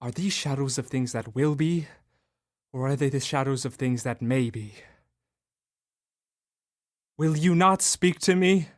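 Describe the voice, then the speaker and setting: A man's voice: medium pitch, unhurried, long pauses, natural voice. A young man playing a pleading old miser. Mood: anguished. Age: young adult. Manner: pleading, anguished. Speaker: Ebenezer Scrooge. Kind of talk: student radio drama.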